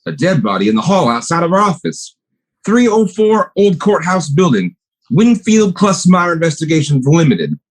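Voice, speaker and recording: A man's voice: fairly high pitch, very animated, normal speed. A man playing a plainspoken, matter-of-fact milkman and part-time partner in a radio play. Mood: deadpan.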